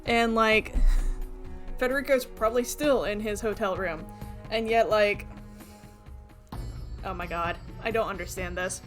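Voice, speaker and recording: A woman doing expressive let's play commentary, mixing dramatic reading of a visual novel with casual, reactive asides. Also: mid-range voice, measured pace, long pauses. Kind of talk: game commentary mixed with dramatic read-aloud. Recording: music playing behind the voice.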